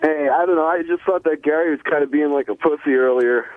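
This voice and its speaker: A man's voice: a little high, quick pace, dry recording. A man calling in to a radio show and chatting casually over the phone. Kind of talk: casual chat. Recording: tinny dry phone line.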